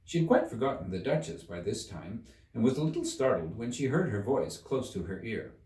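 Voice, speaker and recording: A man reading a story aloud as an audiobook narrator, in an expressive scripted storytelling style. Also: medium pitch, a little fast. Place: room inside a museum. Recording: roomy, echoey, distant from the mic.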